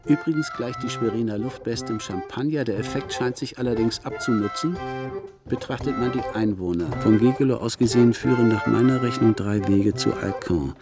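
A man's voice: medium pitch, fairly quick, close to an everyday voice. An actor playing an erudite male letter-writer in a radio play, telling an elaborate tale with eloquent precision. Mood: scholarly, wry. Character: erudite male correspondent spinning elaborate music-history theories. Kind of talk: literary radio play monologue, letter read aloud. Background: music.

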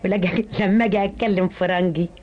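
A woman's voice: medium pitch, brisk. An older woman with an Egyptian accent, a stage and film actress, chatting and joking in a radio interview. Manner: lively, joking, earthy colloquial talk. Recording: dull old archive radio recording.

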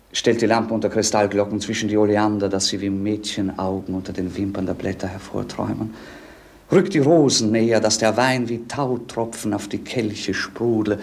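A man's voice: fairly low pitch, husky. A male actor playing a bored, melancholy young prince in a 1950s radio play, lyrical and declamatory. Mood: imperious, dreamy. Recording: 1950s radio drama recording.

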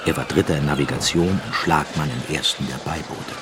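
A man narrating a literary radio drama in a measured storytelling manner, reading from a script. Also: extremely low pitch, heavy background noise. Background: music behind the voice.

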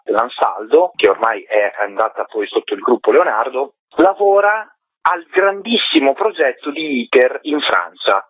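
A man's voice: medium pitch, slightly rough, dry recording. A man being interviewed over the phone as a documentary director, explaining his subject in an articulate, discursive and reflective way. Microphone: telephone. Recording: tinny narrow-band phone line.

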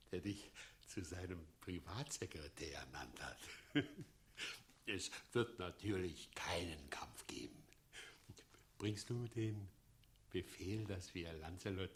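An actor playing a scheming, eccentric older mayor with a theatrical, unctuous, erratic manner in a 1960s radio play. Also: male voice, deep voice, animated, slow pace, long pauses, heavily acted. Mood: unctuous, sly. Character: scheming, eccentric father, a mad old town mayor.

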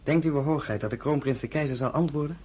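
Young loyal courtier in a radio drama, very husky breathy voice, super respectful. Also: male voice, on the high side, quick pace, darkish tone. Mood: respectful, hesitant. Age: young adult. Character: young, deferential male count, loyal and earnest courtier. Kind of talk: dramatic radio play acting.

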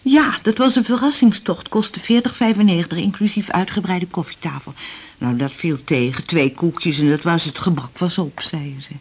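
A woman's voice: lowish voice, moderate pace, breathy edge. A woman acting in a radio drama as a naive, chatty patient who rambles and complains matter-of-factly. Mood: chatty, earnest.

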